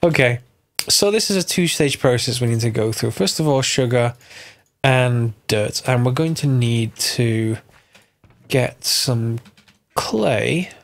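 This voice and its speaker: Man, gravelly, stuffy cold, game tutorial host, thinking aloud. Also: mid-range voice, slow. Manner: thinks aloud, corrects himself, chuckles. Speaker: Minecraft mod tutorial host. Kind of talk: casual gameplay tutorial narration.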